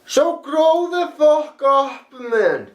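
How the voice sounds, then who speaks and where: A man's voice: very high-pitched, sing-song, extremely slow, echoey room. A man reading a prepared critique in a blunt, profane, lecturing manner. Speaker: video critic. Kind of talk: reading a prepared response video.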